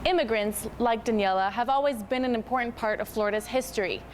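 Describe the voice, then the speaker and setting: A woman's voice: fairly high pitch, fast talker, a little hiss or hum. A woman reading scripted student news narration.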